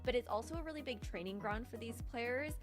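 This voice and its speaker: A woman's voice: on the high side, brisk, over music. A woman casting an esports broadcast, reading segment links and sponsor plugs in an upbeat, polished announcer manner.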